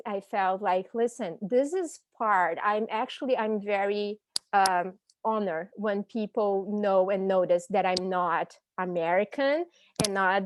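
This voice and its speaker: A woman's voice: on the high side, slight background noise. A woman from Brazil with a Brazilian Portuguese accent, speaking as a panelist and language teacher on a video call, reflective and searching for her words.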